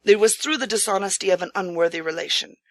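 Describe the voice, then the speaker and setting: A woman's voice: mid-range voice, fast talker, no echo, natural voice. A woman voicing an earnest, courteous, impoverished samurai living as a humble farmer, in formal old-fashioned speech for an audiobook. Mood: resigned. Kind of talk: audiobook character acting.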